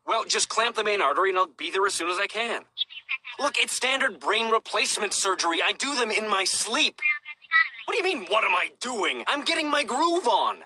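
An actor playing a brash, vain cartoon surgeon creature, recorded from a clip with music behind. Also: male voice, high-pitched, animated, fairly quick, sharp tone, breathy edge, no echo, exaggerated voice, low-quality recording. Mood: cocky, impatient.

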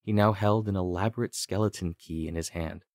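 A man narrating a scripted fantasy audiobook with deliberate, dramatic storytelling. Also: sits low, steady tone, darkish tone, dry recording, clean studio sound.

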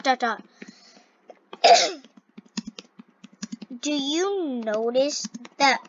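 A young female gamer with a scratchy voice, commenting on a game and reading its dialogue aloud in an excitable, halting way. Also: high voice. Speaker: gaming video host. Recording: dull, lo-fi close microphone.

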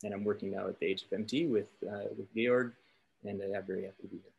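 A man's voice: on the low side, steady tone, quick pace, vocal fry, darkish tone, husky, highs cut off. A middle-aged man with an American accent, introducing himself casually on a video call. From California. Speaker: composer and software developer. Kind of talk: informal self-introduction.

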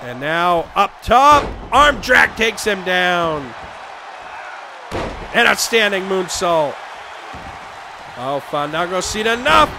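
A man losing his voice, shouting excited play-by-play for a wrestling video game. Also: sits high, slow pace, creaky, pauses often. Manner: shouting excitedly over the action. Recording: game crowd noise behind voice. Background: video game arena crowd and sound effects.

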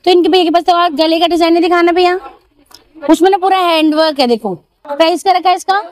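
Brief: high, dry-sounding female shopping vlogger, chatty, repeats prices, outdoor market. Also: fairly even intonation, fast talker, dry recording. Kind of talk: market walkthrough vlog.

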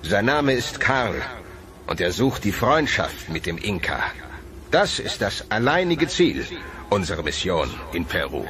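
An actor voicing a formal, self-assured Spanish conquistador envoy in a documentary reenactment. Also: male voice, fairly low pitch, big pitch swings, measured pace, fairly bright tone. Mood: solemn. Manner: formal, declaiming.

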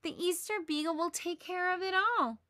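A woman's voice: very high voice, normal speed, pushed and bright, dry recording, studio quality, voice pushed up. A woman voicing an earnest, insistent little boy in a children's storybook read aloud.

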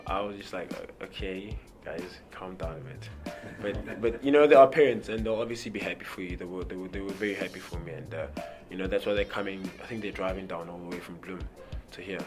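Deep-voiced young South African man. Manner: relaxed, repeats words, many "you know" fillers. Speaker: rugby player. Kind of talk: interview answers. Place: indoor press backdrop.

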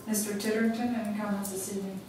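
A woman's voice: medium pitch, flat delivery, very fast. Ohio woman running a council meeting, formal, mic far off, very echoey room. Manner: formal, procedural. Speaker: council president chairing a meeting. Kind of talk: chairing a public meeting. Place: large council chamber. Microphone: desk microphone heard from a distance. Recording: very echoey chamber, distant mic, faint hiss.

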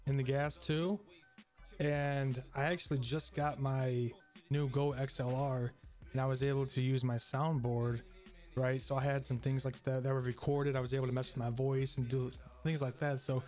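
A man's voice: medium pitch, a little mellow. A young man who streams games, chatting casually into a close microphone. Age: young adult. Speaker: gaming streamer. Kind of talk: casual chat to camera. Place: dark indoor gaming room. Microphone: close broadcast mic on boom arm, headset on.

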